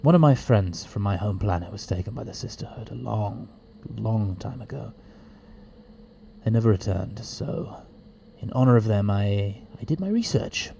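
Casting: male actor for eccentric alien time traveller, audio drama; mellow, swooping pitch, stammering, excitable. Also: normal speed, creaky, a little hiss or hum. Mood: reflective.

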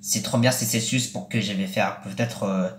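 A young man in his late teens speaking casually for a vlog, in a rambling, repetitive and halting way. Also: lowish voice, fairly even intonation, slight background noise. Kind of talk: casual vlog with acted-out conversations. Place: small attic bedroom, indoors. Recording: roomy, echoey webcam-style recording.

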